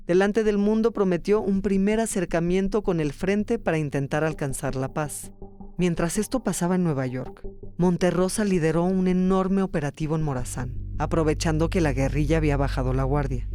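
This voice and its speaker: A man's voice: fairly high pitch, dark tone. A man reading scripted documentary narration. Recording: music behind. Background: music.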